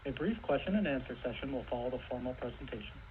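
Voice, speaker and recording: A man's voice: medium pitch, animated, fast talker. A man working as a conference call operator, giving a scripted announcement in a formal, routine way. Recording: tinny phone line with noise.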